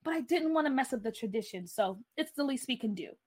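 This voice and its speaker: A woman's voice: sits high, animated, quick pace, breathy edge. A woman voicing a friendly, nostalgic mother in a story, chatty and explaining. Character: nostalgic, friendly mother of a school-age child.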